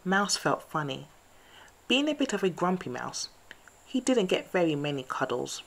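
A woman storyteller reading a children's picture book aloud in an expressive, scripted bedtime-story style. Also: fairly low pitch, moderate pace, gravelly.

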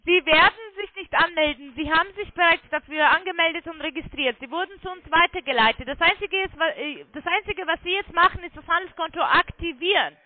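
A woman's voice: extremely high pitch, fairly quick, hardly any pauses, dry recording. A woman working as a call centre sales agent, talking in an insistent, condescending way on a phone sales call. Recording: tinny phone line.

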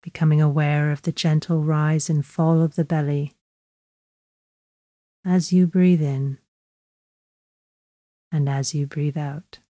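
A woman guiding a body scan meditation in a slow, measured, gently instructive way, leaving long pauses. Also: low voice, level tone, no echo. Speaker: mindfulness meditation guide.

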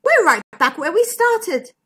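Woman doing a plucky zebra guy for a kids story, bright n high, super keen. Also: sing-song, unhurried, exaggerated voice. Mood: excited. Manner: eager, reassuring. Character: cheerful, brave male zebra adventurer. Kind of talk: children's storybook character voice.

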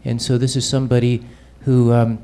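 A middle-aged man, a pastor, introducing a guest speaker in a church hall, unhurried and pausing with fillers, holding a handheld mic. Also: mid-range voice, flat delivery, warm tone.

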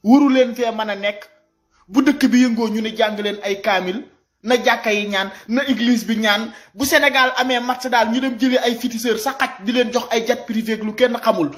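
A man in his thirties with a Senegalese accent giving impassioned, informal video commentary, animated and repeating his points as he exhorts listeners. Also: extremely high pitch, normal speed, soft background music. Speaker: social media commentator. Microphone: phone at arm's length.

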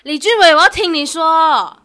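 A woman's voice: extremely high pitch, moderate pace, pushed and bright. A young woman acting in a radio drama as a sweet, devoted girlfriend who is easily wounded. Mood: upset, pleading. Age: young adult.

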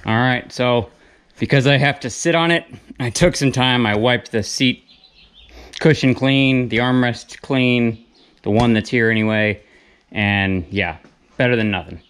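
A man in his thirties talks his way through a repair job in an open metal barn, half muttering to himself, half to the camera. His voice sits at an ordinary middle pitch. A faint hiss of noise runs underneath. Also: measured pace, a bit bright. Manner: muttering, self-narrating while working. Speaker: restoration vlogger. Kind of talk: casual hands-on narration.

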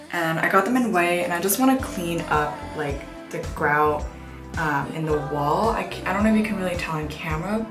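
A woman in her thirties chatting casually to camera as a vlogger, thinking aloud as she does tasks around an empty apartment. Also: lowish voice. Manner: informal, thinking aloud while doing tasks. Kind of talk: casual vlog chat. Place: empty apartment and small tiled bathroom, indoors. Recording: echoey empty rooms, background music.

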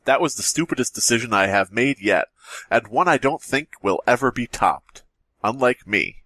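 A man with a lively, swooping delivery, recorded close and dry. He reads a horror story aloud as a podcast host and keeps slipping into playful, mocking banter. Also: medium pitch, moderate pace, dry recording.

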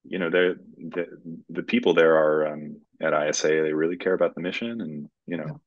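Low-voiced man on a dull video call. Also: steady tone, fairly quick, no room sound. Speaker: screenwriter and interview guest. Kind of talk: casual interview talk. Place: indoor room at home.

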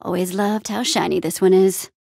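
A woman playing an upbeat young adventurer and town hero in a video game, friendly, casual, expressive and quippy. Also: medium pitch, normal speed, creaky, dry recording, clean studio sound. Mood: proud, admiring. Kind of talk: video game character acting.

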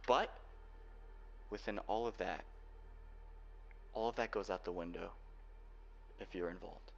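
A young man playing a guarded, protective cyberpunk hacker boyfriend in an ASMR roleplay, speaking earnestly and haltingly. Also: deep voice, vocal fry, fairly bright tone, exaggerated voice. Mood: earnest, tender. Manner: earnest, halting delivery with sighs. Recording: dull lo-fi home recording, faint noise.

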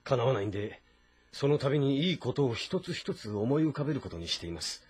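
A man's voice: medium pitch, big pitch swings, a little fast, darkish tone, slightly rough. A man playing a thoughtful, weary military strategist who speaks politely and reflectively in a historical drama. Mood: pensive.

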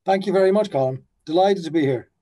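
A man's voice: sits high, fast, mellow. A man in his fifties who grew up in the Netherlands, a brewery founder talking on a video call from his office, hesitant, with many fillers and restarts. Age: 50s. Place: office with a brand banner behind. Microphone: laptop webcam on a video call.

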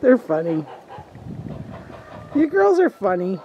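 A woman's voice: mid-range voice, sing-song, takes it slowly, dull audio. A woman on a farm pasture, chatting casually and cheerfully with playful commentary on the animals. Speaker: homesteader co-host. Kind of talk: casual chat while filming. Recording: noisy outdoor camera audio. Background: farm animals and outdoor noise.

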